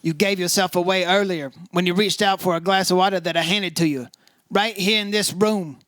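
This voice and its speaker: Radio detective, male actor: high-pitched, hardboiled and sardonic, firing off clipped wisecracks. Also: fairly even intonation, a little fast, fairly bright tone, no echo. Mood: accusing. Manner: sardonic, taunting, clipped phrases. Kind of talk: old-time radio detective drama acting and narration.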